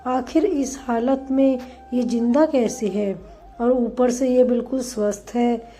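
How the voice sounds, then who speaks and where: A woman narrator plays a naive, devout monk in a moral storybook tale. The words come slowly and earnestly, full of wondering, in a soft mellow tone. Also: a little high, steady tone. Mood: puzzled. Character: naive devout male Buddhist monk, pondering and plaintive.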